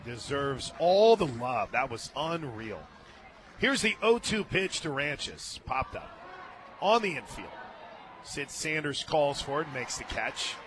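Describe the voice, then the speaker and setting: An American man doing live radio play-by-play of softball, conversational and clipped, lifting into emphatic calls. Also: on the high side, big pitch swings, slow, a little hiss or hum. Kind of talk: live softball radio commentary with casual banter. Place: stadium radio booth.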